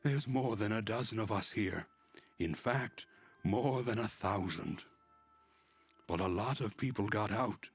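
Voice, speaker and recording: A man voicing a mysterious, urgent double from a parallel world in a visual novel, speaking in a cryptic, halting, insistent way. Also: on the low side, slightly rough, no room sound, faint music behind, pitched above the performer's own voice.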